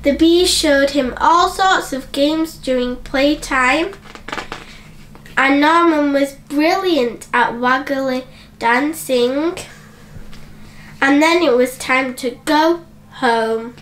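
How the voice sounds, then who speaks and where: High-pitched, very slow woman storyteller. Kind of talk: children's bedtime story narration.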